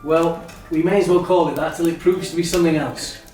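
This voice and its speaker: An actor playing a kindly, hopeful Victorian gentleman and brother in his thirties, with formal, earnest phrasing in a literary drama. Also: a little high, fairly even intonation, brisk, lightly acted. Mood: teasing. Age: 30s. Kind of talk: stage-style literary radio drama acting. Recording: very echoey, distant, music behind.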